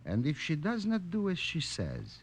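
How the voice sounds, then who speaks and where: An actor playing a sly, grave resistance fighter in an old radio drama. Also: male voice, fairly high pitch, wildly swooping pitch, normal speed, heavily acted. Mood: grave, stern. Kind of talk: 1950s radio drama acting. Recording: old radio broadcast with background hiss.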